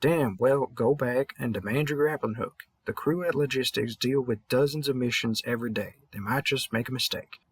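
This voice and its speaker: A man's voice: medium pitch, normal speed, few pauses, husky, dry recording, natural voice. A man playing a tired veteran vampire hunter from the American South, mumbling and rambling folksy encouragement in an intimate audio roleplay. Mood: encouraging.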